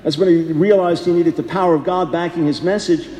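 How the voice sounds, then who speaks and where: A man's voice: fairly high pitch, fairly quick, a little mellow. A man preaching a sermon in an earnest, conversational way, often repeating himself for emphasis. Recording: echoey hall, long reverb.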